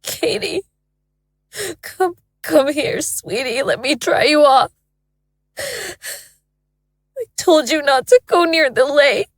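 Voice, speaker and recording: A woman's voice: high voice, sing-song, slow, long pauses, no echo, studio quality, exaggerated voice. A woman playing an anguished, insistent wife and mother in an audio horror drama, with an emotional delivery.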